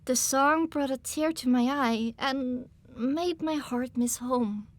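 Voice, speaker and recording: A woman's voice: high voice, takes it slowly. A woman reading scripted first-person story narration as an audiobook narrator.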